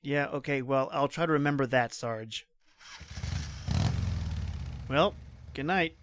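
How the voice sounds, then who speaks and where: An actor playing a brash, practical young police trooper in an old-time radio drama, with clipped colloquial delivery. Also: male voice, medium pitch, slow pace, noisy background, natural voice. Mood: teasing, dismissive.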